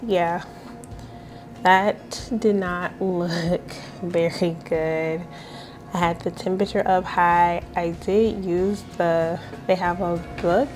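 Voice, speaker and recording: A young woman vlogging casually from her home kitchen, chatty and self-deprecating, getting over a cold. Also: lowish voice. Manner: relaxed, chatty, self-deprecating, many fillers. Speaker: vlogger reviewing an air fryer. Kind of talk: casual cooking vlog.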